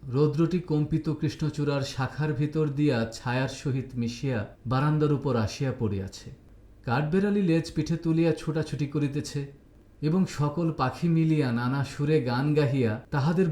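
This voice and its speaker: Mellow male storyteller in an echoing room. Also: on the high side. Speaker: story reader and narrator. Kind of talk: literary scripted narration.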